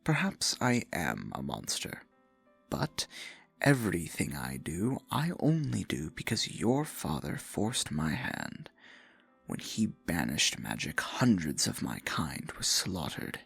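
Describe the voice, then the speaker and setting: A man playing a possessive, theatrical dark sorcerer in a fantasy ASMR roleplay, murmuring with silky menace and mock-sweet endearments in a husky, gravelly voice. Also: sits low, huge pitch swings, takes it slowly, no room sound, faint music behind, exaggerated voice. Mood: bitter, wounded.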